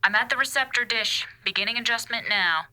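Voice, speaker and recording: An actress playing a station commander reporting from a spacesuit over a radio link in an audio drama. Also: female voice, a little high, brisk, pushed and bright. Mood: businesslike. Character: female station commander working outside in a spacesuit. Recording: very noisy, clicking radio link.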